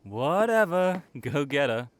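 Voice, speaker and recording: A man's voice: a little high, sing-song, slow pace, slight background noise. A man playing a teasing young ram hybrid who works in a cafe, with playful, needling banter in an audio roleplay. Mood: goading.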